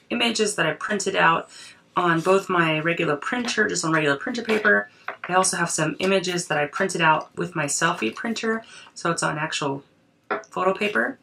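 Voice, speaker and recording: A woman's voice: fairly low pitch, moderate pace, creaky, pushed and bright, far from the mic, slight background noise. Woman with a cold, chatting while crafting. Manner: chatty, thinks aloud, frequent restarts. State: voice affected by a cold. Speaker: creativity coach. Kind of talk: casual crafting vlog.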